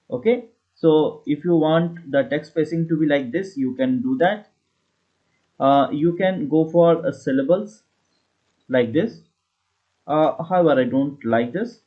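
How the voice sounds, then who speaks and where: A man giving a casual step-by-step software tutorial as an instructor. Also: fairly high pitch. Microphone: voice-over for screen recording. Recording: dull lo-fi voice recording.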